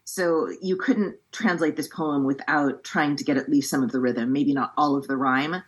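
Low husky-voiced woman translator. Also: fairly even intonation. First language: English. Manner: thoughtful, measured explaining with frequent restarts. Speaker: poetry translator. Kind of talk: poetry reading and book-event discussion.

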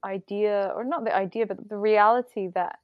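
A young woman from Bali, a guest speaking thoughtfully in a casual conversation, pausing to choose her words. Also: mid-range voice, steady tone, dry recording, dull audio, soft background music. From Indonesia (Bali). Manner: reflective, thoughtful, with hesitations.

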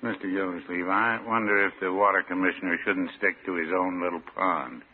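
Guy doing a folksy deadpan american druggist, mild and droll, old radio show, tinny with faint hiss. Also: sits low, fairly quick, lightly acted. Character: droll, deadpan small-town druggist, mild married man. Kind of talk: classic radio comedy acting.

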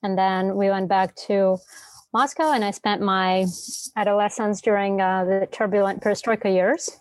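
A woman's voice: medium pitch, steady tone, moderate pace, no echo, low-quality recording. Russian-born woman author, dry close sound. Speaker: author guest. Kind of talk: interview answers.

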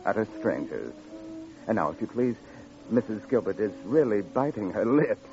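A man's voice: on the low side, very animated, normal speed, loud music behind the voice. An actor playing a suave, flippant ladies' man with a pompous, florid, mocking manner in an old radio drama. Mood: breezy, dismissive. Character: suave, flippant gigolo running a lonely hearts club. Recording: dull old radio recording.

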